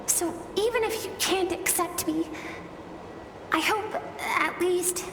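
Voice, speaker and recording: A woman playing a gentle, earnest young girl with a sorrowful streak in a video game. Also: extremely high pitch, slow, noisy background, heavily acted. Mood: tender sorrow. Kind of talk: scripted game voice acting. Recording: music under the voice.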